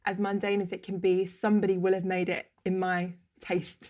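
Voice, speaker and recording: A woman, telling her story as a podcast guest, open and quick to laugh, speaking over a video call. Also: medium pitch, flat delivery, darkish tone. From England. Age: 30s. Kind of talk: casual interview chat. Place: home room, indoors.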